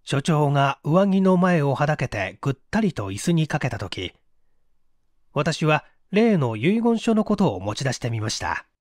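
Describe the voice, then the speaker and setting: A male narrator reads a mystery story with animated, varied intonation. His voice sits a little high for a man, and the sound is dry and close, as a scripted audiobook reading. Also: normal speed, no room sound. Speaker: audiobook reader, stage actor.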